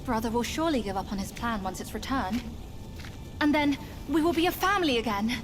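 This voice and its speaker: A woman's voice: a little high, expressive, brisk, over music, lightly acted. An actress playing a scheming young fox woman in a video game cutscene, sweet on the surface and sly beneath. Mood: coaxing.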